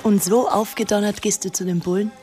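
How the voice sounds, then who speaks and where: A woman's voice: mid-range voice, steady tone, fairly quick, warm tone, soft background music, natural voice. An actress playing a brash, mocking young woman in a radio drama, sarcastic and taunting.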